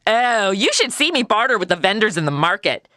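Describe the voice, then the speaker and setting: An actress playing a brash, sassy modern time traveller in a comedy audio drama, snarky and breezy, full of quips. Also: female voice, medium pitch, very animated, brisk, pushed and bright, no room sound, studio quality. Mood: breezy, teasing. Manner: snarky, breezy, pop-culture quipping.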